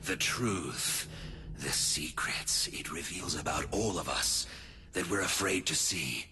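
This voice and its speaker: A man playing a calm, menacing psychopathic killer in a video game, philosophizing in a gravelly voice. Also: low-pitched, slow pace, a little hiss or hum, heavily acted. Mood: fanatical calm menace. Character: calm male psychopathic serial killer preaching death. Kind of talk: dramatic video game voice acting.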